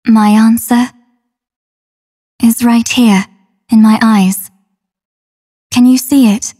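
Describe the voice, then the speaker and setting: A woman playing a solemn, tender female android soldier in a video game, speaking in a measured, formal, earnest way. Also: fairly high pitch, fairly even intonation, mellow, breathy edge, exaggerated voice. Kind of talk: English dub game voice acting.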